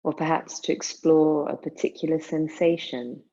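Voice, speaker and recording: A woman guiding a meditation in a soothing, unhurried manner, leaving long pauses between phrases. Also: deep voice, steady tone, warm tone, dull audio. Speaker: yoga nidra meditation guide. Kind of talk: guided meditation.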